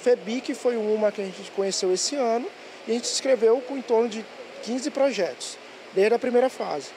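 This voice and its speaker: A man's voice: very high voice, moderate pace, darkish tone, a little hiss or hum. A man in his thirties, a teacher, talking proudly in an interview in a school workshop into a handheld mic. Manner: proud and explanatory. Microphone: handheld reporter mic.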